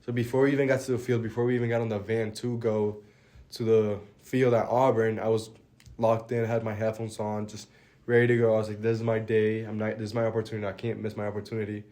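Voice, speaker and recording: A young man from the Dominican Republic, a college baseball pitcher, answering interview questions in a relaxed, plainspoken way and letting his phrases trail off quietly. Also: fast talker. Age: young adult.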